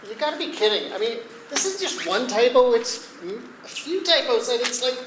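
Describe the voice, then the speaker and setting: A noisy, roomy theatre recording of a woman acting as a reluctant stage actor. The voice is high and animated, full of exasperated, incredulous protest in a live amateur comedy. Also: normal speed.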